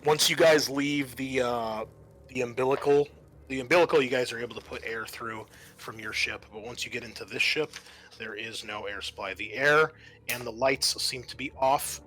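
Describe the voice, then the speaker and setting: A man's voice: medium pitch, steady tone, moderate pace, a bit bright, slight background noise, low-quality recording. A man acting as game master, explaining a scenario and thinking aloud as he recalls the rules.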